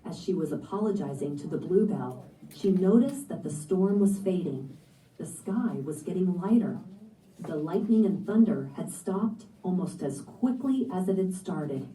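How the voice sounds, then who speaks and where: A woman narrates a children's story in a very dark, soft-edged tone. Her voice sits on the low side and comes through an echoey room, a little away from the microphone. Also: animated, a little slow. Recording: echoey room, picked up from a distance.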